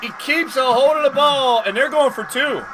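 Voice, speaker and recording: A man hosting a live sports stream, calling plays and chatting casually with viewers, getting excitable on big plays. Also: very high voice, normal speed, slight background noise, low-quality recording. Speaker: livestream host and play-by-play commentator.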